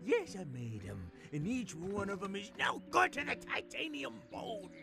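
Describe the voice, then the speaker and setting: A man's voice: high voice, wildly swooping pitch, measured pace, gravelly, exaggerated voice. A man playing an eccentric, forgetful old tinkerer in a video game, rambling and cantankerous in a folksy way. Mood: folksy bragging. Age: older. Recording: music under the voice.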